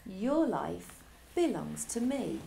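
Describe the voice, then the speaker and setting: A woman's voice: medium pitch, very animated, takes it slowly, vocal fry, warm tone, slight background noise, heavily acted. Woman reading as an eerily devoted schoolboy. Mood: earnest. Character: boy Davy, calm, eerily devoted.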